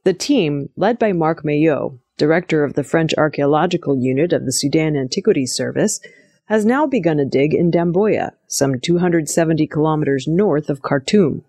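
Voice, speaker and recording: A woman's voice: deep voice, animated, moderate pace, mellow, no room sound, studio quality. A woman reading scripted news narration as the presenter of an audio news program. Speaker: news reader.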